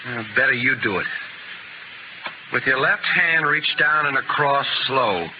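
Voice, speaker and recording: An actor playing a cocky, menacing young rancher's son who drawls taunts, in the style of a 1950s radio western. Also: male voice, medium pitch, unhurried, lightly acted. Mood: cautious menace. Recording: noisy muffled old radio recording.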